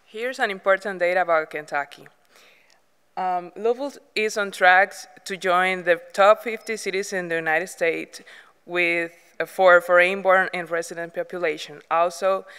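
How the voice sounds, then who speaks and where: Teen girl from cuba, super crisp voice, few slips, echoey theater. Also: fairly low pitch, fairly even intonation, normal speed, fairly bright tone. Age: teens. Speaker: student presenter. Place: large theater stage. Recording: reverberant auditorium.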